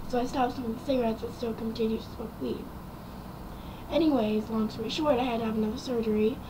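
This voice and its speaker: Young woman, raspy quiet voice, slightly high, rambling with ums and likes, noisy recording. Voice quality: raspy and quiet from vocal cord damage. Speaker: vlogger. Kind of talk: casual personal story to camera.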